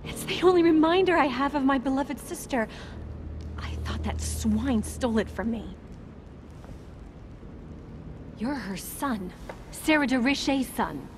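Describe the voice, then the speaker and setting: A woman's voice: a little high, expressive, a little slow, a little hiss or hum. An actress playing a wounded, distrustful young woman in a video game drama, speaking in an intense, bitter and guarded manner. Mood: anxious, urgent.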